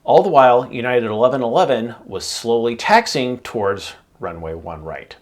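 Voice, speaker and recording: A man hosting an aviation channel, narrating an explanatory video in a measured way with emphasis on key points. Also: mid-range voice, lively intonation.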